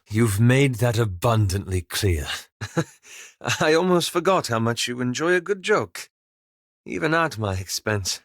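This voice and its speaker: Formal, reserved scholarly elf wizard. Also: male voice, mid-range voice, fairly bright tone, dry recording, studio quality. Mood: polite, flustered. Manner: formal, measured, courteous diction. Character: polite, anxious, scholarly elven wizard, reserved and earnest. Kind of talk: video game character dialogue.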